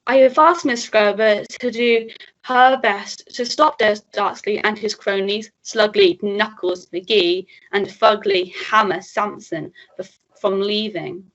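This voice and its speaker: A woman playing a level-headed police sergeant in a radio melodrama, deliberate and calming, with halting delivery. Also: mid-range voice. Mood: resolute. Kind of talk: melodrama radio play acting. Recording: dull lo-fi recording.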